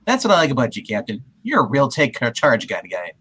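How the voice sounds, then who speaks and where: A man's voice: mid-range voice, wildly swooping pitch, fast, sharp tone, a little hiss or hum. A man casually reading comic-book lines aloud in a wisecracking way.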